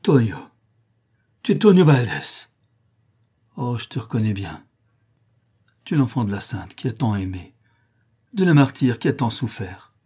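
An emotional Mexican mother in an adventure tale, acted out by a male reader. She is effusive and tender, with passionate exclamations. The voice is soft-edged and slightly husky. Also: mid-range voice. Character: overjoyed, tearful Mexican mother, passionate former wet nurse.

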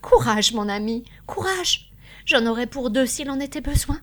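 A woman's voice: on the high side, animated, normal speed, voice pushed up, lightly acted. Storybook role: devoted, worried wife of a failing shopkeeper, pleading and emphatic, played by a female reader. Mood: tearful resolve. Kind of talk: dramatised audiobook dialogue.

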